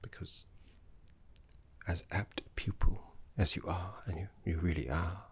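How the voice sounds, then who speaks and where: Man acting elderly necromancy professor, very breathy half-whisper, low, gentle, wistful, genteel, ASMR roleplay. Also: steady tone, exaggerated voice. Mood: tender, admiring. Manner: halting, genteel, formal wording.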